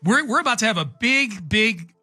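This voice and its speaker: A man's voice: high voice, lively intonation, moderate pace, sharp tone. A man co-hosting a sports radio show, chatting casually and playfully, agreeing and prompting his partner.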